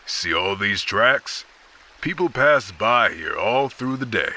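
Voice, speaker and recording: A man's voice: on the low side, expressive, slow, sharp tone, full character voice. An actor playing a laconic, matter-of-fact male park ranger.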